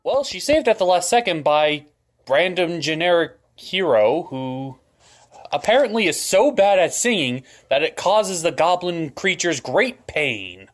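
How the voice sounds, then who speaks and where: A sarcastic cartoonish puppet reviewer, put on by a performer, delivering a comic movie recap. The voice sits at a middle pitch and swings very widely, with dry exasperation. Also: animated, measured pace, close to an everyday voice. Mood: sardonic.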